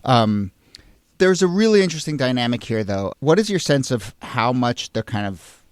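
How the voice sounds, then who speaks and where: A man's voice: medium pitch, big pitch swings, moderate pace, no room sound. A man hosting a conversational history discussion, informally thinking aloud in long sentences. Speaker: podcast host.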